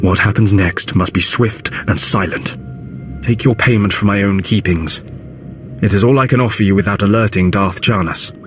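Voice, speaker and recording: Man playing a low-voiced haughty, scheming Sith lord. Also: moderate pace, fairly bright tone, faint music behind, close to an everyday voice. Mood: urgent, secretive. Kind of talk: video game dialogue acting.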